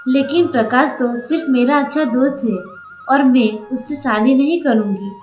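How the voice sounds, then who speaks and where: A woman's voice: sits high, normal speed, darkish tone, reverberant, soft background music, lightly acted. A woman playing an innocent, trusting young village woman in love in a cartoon story. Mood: disbelieving. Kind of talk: Hindi cartoon story dialogue.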